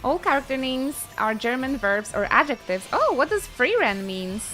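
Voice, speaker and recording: A woman's voice: high-pitched, very animated, normal speed, fairly bright tone, low-quality recording. Woman streamer chatting over game music. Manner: playful, exclaiming. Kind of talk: casual chat and reactions during gameplay.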